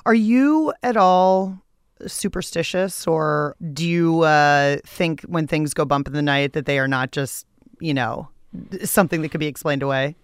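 A woman's voice: deep voice, measured pace, clean tone, dry recording. Female interviewer, informal and conversational, clean resonant tone, dry studio-like sound. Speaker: interviewer, podcast host.

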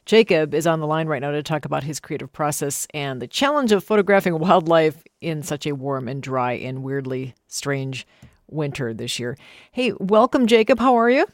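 A woman's voice: sits low, animated, moderate pace, no room sound, clean studio sound. A middle-aged American woman hosting a radio program in a conversational interviewing style. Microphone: close studio microphone.

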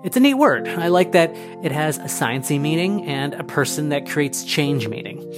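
Podcast editor doing a casual tribute message, middle pitch, guitar music behind. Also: lively intonation, normal speed. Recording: prominent guitar music underneath.

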